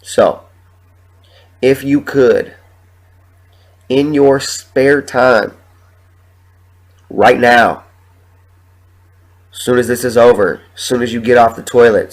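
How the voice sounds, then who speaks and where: A young man hosting his own podcast while sick with the flu, sniffling and talking in a halting, rambling, emotional way with plenty of swearing. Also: mid-range voice, fairly even intonation. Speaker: podcast host. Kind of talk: solo podcast monologue.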